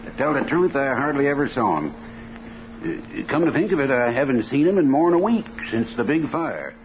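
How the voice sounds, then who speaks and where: An actor playing a defensive, folksy American rooming-house landlord in 1950s radio drama, halting and repeating his words. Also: medium pitch, very animated, natural voice. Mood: hesitant, helpful. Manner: halting, stammering, colloquial. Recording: noisy, tinny 1950s radio recording.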